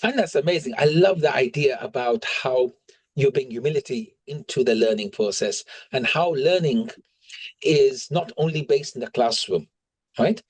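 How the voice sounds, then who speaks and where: A man's voice: mid-range voice, normal speed, slightly rough, dry recording, low-quality recording. Upbeat male interviewer, dry close sound. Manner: affirming and enthusiastic, asks questions with "right?". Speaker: podcast host, interviewer. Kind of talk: conversational interviewing.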